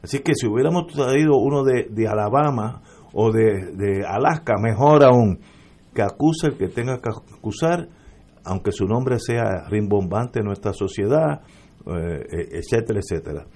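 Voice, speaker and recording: Emphatic Puerto Rican man, low warm voice. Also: lively intonation, normal speed. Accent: Puerto Rican Spanish. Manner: emphatic, rising to forceful. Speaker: political panelist. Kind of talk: radio political talk.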